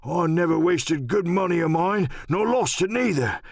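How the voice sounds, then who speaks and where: Gravelly, cantankerous old captain. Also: male voice, on the high side, animated, moderate pace, no room sound, studio quality, voice pushed up. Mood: alarmed, defiant. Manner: rambling, salty seafaring talk, cursing. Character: sick, rum-craving old pirate sea captain, cantankerous and pleading. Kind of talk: audiobook radio-play character acting.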